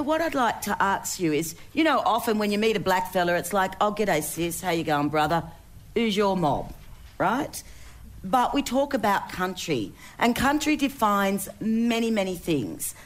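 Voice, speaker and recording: A woman's voice: medium pitch, lively intonation, normal speed. A woman with an Australian accent moderating a live panel in a conversational style.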